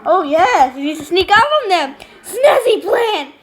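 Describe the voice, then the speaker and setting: A woman's voice: very high-pitched, animated, a little slow. A young female host giving casual, playful gameplay commentary, giggly and given to mock-serious drama, sometimes talking with her mouth busy. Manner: talks while eating and sucking ice. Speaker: gaming video host.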